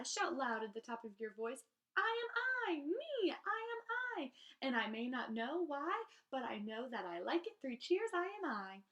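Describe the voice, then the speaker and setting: Lady teacher doing a kids read-aloud, highish voice, really expressive and swoopy, leans hard on key phrases. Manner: theatrical, emphatic, drawing out key phrases. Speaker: teacher reading aloud to students. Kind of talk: expressive children's read-aloud of rhyming verse.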